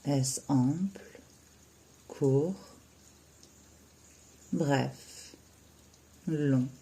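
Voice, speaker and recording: A yoga nidra teacher guiding a relaxation in a soothing, unhurried way, with long pauses between short phrases. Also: mid-range voice, warm tone. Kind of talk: slow guided relaxation meditation.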